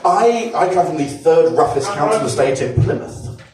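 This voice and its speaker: Man in his thirties, lively swooping delivery, stand-up set, echoey club recorded from a distance, laughing crowd. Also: on the high side, normal speed. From Devon, England. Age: 30s. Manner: self-mocking, animated, swearing, stammering restarts. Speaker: stand-up comedian. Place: comedy club stage with live audience.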